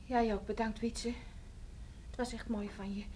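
An actress playing a frank, cheeky young village daughter in a Dutch radio play, artless and gently teasing. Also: female voice, on the high side, level tone, moderate pace, lightly acted. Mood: fond, teasing. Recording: noisy old radio drama recording.

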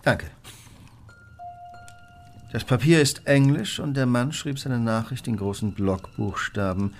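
An actor playing a cool, analytical Victorian gentleman detective in a radio play, precise and probing as he questions. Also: medium pitch, takes it slowly, a little hiss or hum.

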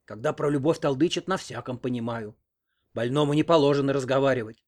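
A man voicing a sharp-tongued, nosy old village grandmother in an audiobook, scolding and indignant. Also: medium pitch, brisk, natural voice. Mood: nosy, knowing. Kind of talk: audiobook character reading.

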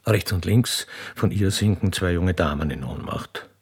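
A man with an Austrian accent reads stage directions in a dramatic reading, with a husky, gravelly voice and a dry, deliberate delivery. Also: low voice. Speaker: reader performing a play with all its parts.

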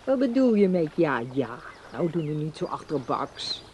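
A woman's voice: deep voice, very animated, normal speed, darkish tone, a little hiss or hum. An actress playing a lonely, pious older woman in a radio play, pleading and insistent. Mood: coaxing.

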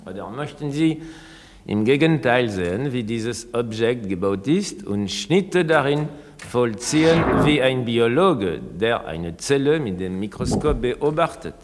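A man lecturing in a scripted radio-essay dialogue, formal, deliberate and oracular as he expounds ideas. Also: medium pitch, animated, a little hiss or hum, natural voice. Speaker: thinker expounding ideas on sound. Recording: long reverberant hall.

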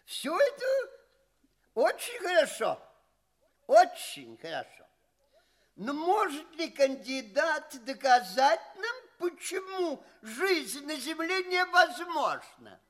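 An actor playing a pompous, condescending old alien professor in an audio play, with a drawn-out, theatrical delivery and repeated phrases. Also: male voice, extremely high pitch, big pitch swings, fairly bright tone, full character voice. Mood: condescending approval. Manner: drawn-out, chuckling, repeats himself.

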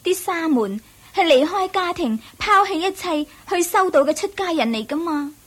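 A tender high-voiced Cantonese princess. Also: female voice, animated, moderate pace, fairly bright tone. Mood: concerned, questioning. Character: tender, devoted young princess, wife and mother. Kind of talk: radio drama acting.

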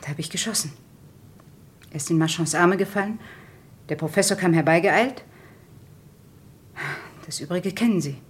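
An actress voices a woman doctor in a vintage German radio thriller. Her voice sits a little low as she confesses to a killing. She lays out events in a measured, controlled way. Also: slight background noise, close to an everyday voice. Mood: subdued, resigned. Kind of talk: 1970s German radio crime drama acting.

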